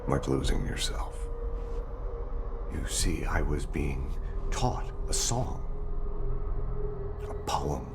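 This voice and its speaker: An actor playing an unhinged, paranoid agency director in a dramatic video game monologue, halting and haunted, often murmuring. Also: male voice, rumbling low, lively intonation, creaky, loud music behind the voice, full character voice. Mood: dazed, haunted.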